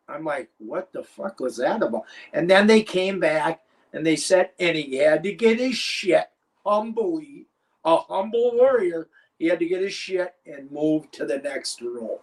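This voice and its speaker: A man's voice: a little high, poor audio. An older man and former wrestler, telling rambling, profane stories as an interview guest. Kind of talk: casual interview chat.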